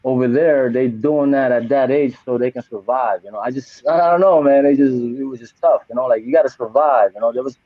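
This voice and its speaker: A man's voice: mid-range voice, brisk, few pauses, dry recording. A man in his forties chatting casually on a podcast, loose and heartfelt, peppering his talk with "you know". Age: 40s. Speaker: podcast co-host and trip volunteer. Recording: dry, tinny phone-like sound.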